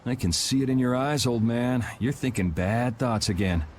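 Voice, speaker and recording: An actor playing a cool, wry young guild vigilante in a video game, speaking in a dry, casual, sardonic way. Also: male voice, medium pitch, normal speed, darkish tone, a little hiss or hum, close to an everyday voice. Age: young adult.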